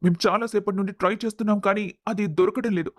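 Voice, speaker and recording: Casting: man to play stern young boss in an audio family drama, high-pitched male voice, close dry sound. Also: fairly even intonation, fast, no room sound. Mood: apologetic. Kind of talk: dramatised audio story acting.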